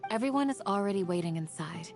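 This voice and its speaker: An actress playing a courteous, cautious guardian woman in a video game, speaking in a polite, measured, earnest way. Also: medium pitch, lively intonation, close to an everyday voice. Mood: calm, earnest. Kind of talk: English game voice acting. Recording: game audio with music behind.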